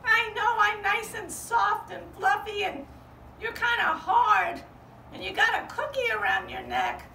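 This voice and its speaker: Woman doing a squeaky bleating little sheep. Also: unhurried, vocal fry, bright, forceful tone, exaggerated voice. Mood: shy. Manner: high, bleating, hesitant with ums. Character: timid little sheep girl, eager. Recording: roomy, from far off, faint hum.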